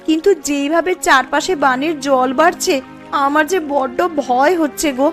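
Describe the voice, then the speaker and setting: A woman's voice: sits high, normal speed, faint music behind, lightly acted. A woman voicing a caring, fretful younger village woman in a cartoon story, with an entreating manner. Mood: anxious.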